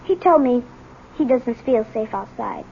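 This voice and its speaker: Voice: mid-range voice, animated, measured pace, gravelly, slightly rough, heavily acted. A young performer playing an earnest, loyal American schoolboy, articulate and eager, in a 1970s radio drama. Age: child or young teen. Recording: muffled 1970s radio recording.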